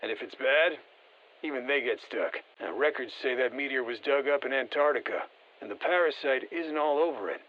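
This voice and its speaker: A man plays a seasoned special-forces operator from a video game, giving mission guidance in terse, matter-of-fact phrases. He sounds steady and encouraging, with a slightly dull edge to the audio. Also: medium pitch, fairly quick, lightly acted. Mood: calm, musing. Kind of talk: scripted video game voice lines.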